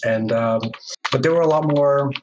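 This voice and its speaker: A relaxed man from Brazil, mid-range in pitch, answering casually and often pausing with fillers to think. A steady hiss of noise sits under his voice on this home stream. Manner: relaxed, hesitant, many fillers. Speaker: interview guest.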